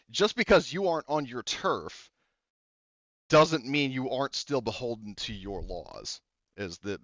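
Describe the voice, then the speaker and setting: A man acting as game master, explaining rulings in a loose, rambling way, full of fillers and restarts. His voice sits in a middle pitch. The recording is dull and lo-fi, with a dry, close sound. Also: a bit bright, dry recording. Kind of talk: casual tabletop game narration.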